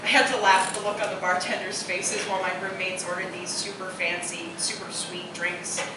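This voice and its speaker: The sound is heavily echoing and noisy, as in a live radio play recorded from a distance. An actress plays a witty, opinionated woman who savors her bourbon, speaking in a middle pitch. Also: little pitch movement, brisk, lightly acted. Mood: wry, reminiscing. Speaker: stage actor. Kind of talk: live radio-play dialogue before a microphone. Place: live theatre performance space.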